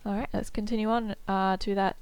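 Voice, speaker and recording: A woman's voice: mid-range voice, level tone, fairly quick, darkish tone, clear, resonant tone. A woman in casual let's-play commentary, reading tutorial text aloud and reacting, slightly nasal from a cold. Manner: chatty, upbeat, muses aloud with fillers. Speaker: gameplay streamer.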